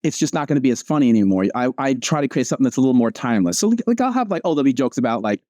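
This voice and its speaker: A man, a comic strip creator, chatting casually as a guest, relaxed and filling his talk with "like" and "um". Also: medium pitch, very fast, no room sound. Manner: relaxed, frequent "like" and "um". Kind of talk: casual conversation.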